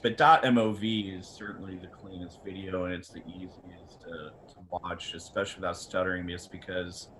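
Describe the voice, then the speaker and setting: Rambling low-voiced man giving technical advice. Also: a bit bright, a little hiss or hum. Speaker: video equipment expert on a panel.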